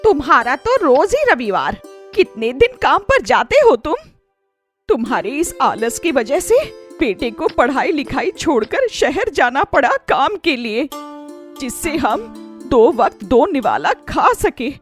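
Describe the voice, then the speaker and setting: A woman playing a fed-up, nagging village wife and mother in a children's cartoon story, indignant and sharp-tongued. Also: high-pitched, very animated, unhurried, faint music behind. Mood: angry, nagging.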